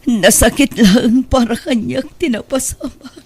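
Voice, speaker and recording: A woman acting in a Filipino radio drama as a heartbroken, forgiving mother, husky, breathy, tearful and tender. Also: fairly high pitch, unhurried, darkish tone. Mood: sorrowful, gentle. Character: heartbroken, forgiving mother left by her husband.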